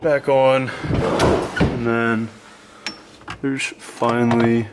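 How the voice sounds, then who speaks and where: A man's voice: mid-range voice, little pitch movement, extremely slow, heavy background noise. A man hosting a casual how-to vlog, explaining his work in an easygoing, chatty way while working in a garage. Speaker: host of a car build channel. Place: garage, inside a vehicle.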